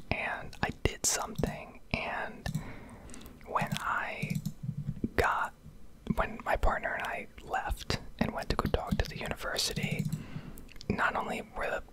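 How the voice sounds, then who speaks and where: A young woman whispers throughout in a breathy, unvoiced ASMR style, close to the mic. She rambles through an opinionated rant over gameplay, swearing freely and pausing to find her words. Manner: profane, opinionated, hesitant pauses. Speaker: ASMR gaming streamer.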